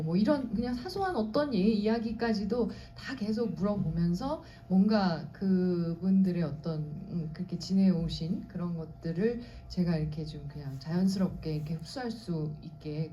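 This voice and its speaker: A chatty actress talks eagerly on a cinema stage, her voice very dark and mellow. The sound is muffled and a little dull, as if taken from the house system. Faint hall ambience sits behind her. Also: on the low side, animated, normal speed, hardly any pauses, slight background noise. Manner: chatty, eager, repeats short affirmations. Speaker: actress at a screening Q&A. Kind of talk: candid panel talk. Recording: muffled audience-hall recording.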